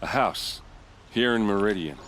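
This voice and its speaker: A man playing a gruff, blustery but loyal guard captain in a video game, talking in a blunt, earthy, informal way. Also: on the low side, lively intonation, a little slow, a bit bright, slight background noise. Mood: troubled, defensive. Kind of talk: video game dialogue acting.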